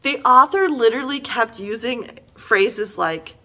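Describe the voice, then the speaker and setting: A middle-aged woman giving a casual, conversational book review in an animated, exasperated and emphatic manner. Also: a little high, unhurried.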